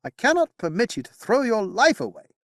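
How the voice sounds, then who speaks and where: A man plays a loyal knight at his wits' end, his voice pushed high and strained. The lines swing widely and sit dry and close. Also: wildly swooping pitch, fairly quick, no room sound, heavily acted. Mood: alarmed. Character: desperate one-armed male knight, fiercely loyal.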